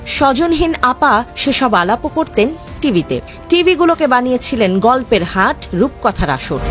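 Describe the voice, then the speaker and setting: Casting: female Bangladeshi narrator, high voice with animated swings, sardonic scripted satire over music.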